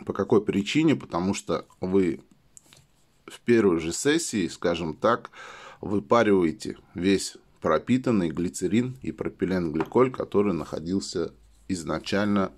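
A man with a slightly hoarse voice from a cold, chatting casually while demonstrating a product at a table indoors and leaning on filler phrases. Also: deep voice, expressive, unhurried. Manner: chatty, keeps saying "let's say" as filler. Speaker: product reviewer. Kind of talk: casual how-to demo.

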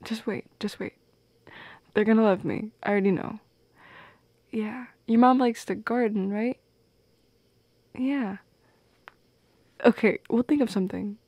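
A woman playing a shy, teasing goth girl in an intimate ASMR romance roleplay, speaking softly and breathily, often near a whisper. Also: medium pitch, no room sound. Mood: playful, affectionate. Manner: soft, breathy, often whispering, giggly, lingering pauses. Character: shy, teasing goth girl confessing love, affectionate and playful.

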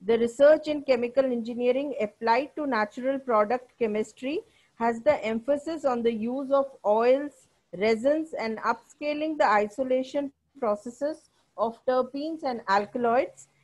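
A woman's voice: sits high, a little slow, darkish tone, low-quality recording. A woman with an Indian English accent, formally introducing a guest speaker as a webinar host, polite with fillers.

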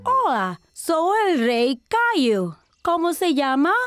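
A woman's voice: high voice, very animated, slow pace, no room sound, clean studio sound, soft background music, full character voice. A woman voicing an eager, earnest four-year-old boy in a children's cartoon, with simple childlike delivery. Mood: playful.

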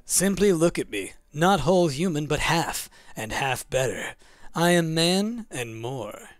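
Guy doing an eerie proud mothman, hushed and low, formal old-timey riddle talk, super dry close recording. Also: very animated, dry recording. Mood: proud, aloof. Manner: formal, archaic, measured, riddling speech. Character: eerie, proud half-man moth creature, verbose and cryptic.

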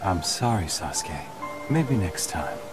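An actor playing a serious, gentle young male ninja and older brother, reserved and apologetic, in an anime dub. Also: deep voice, very animated, slow pace, over loud music, heavily acted. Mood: gently firm.

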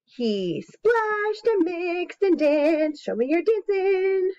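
A woman in her thirties with an expressive, singsong manner, talking to young children as an educator. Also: very high-pitched, slow pace. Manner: expressive, singsong, encouraging young listeners to join in. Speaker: children's educator and story reader. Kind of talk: animated read-aloud and casual craft demonstration for young children. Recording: slightly dull webcam recording.

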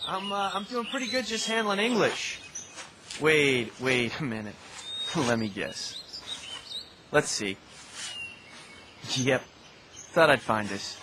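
A man's voice: on the high side, expressive, slow pace, long pauses. An actor playing a skeptical, laconic American man with a dry, hesitant, understated manner in an audio drama. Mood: mild, wry. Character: skeptical, laconic man humoring an eccentric friend. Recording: noisy outdoor ambience with birdsong.

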